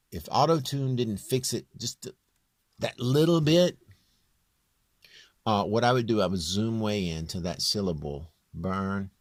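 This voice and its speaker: Low-voiced man giving an informal tutorial. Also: dry recording. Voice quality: low, near-bass voice. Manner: halting, thinking aloud while working. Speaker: home musician demonstrating his vocal production.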